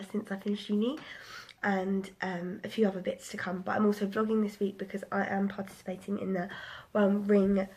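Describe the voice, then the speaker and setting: Chatty husky young woman reviewing books. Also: mid-range voice, a little mellow. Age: 20s. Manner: chatty, rambling, many ums and restarts. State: a bit bunged up. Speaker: book vlogger. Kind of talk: casual book review vlog.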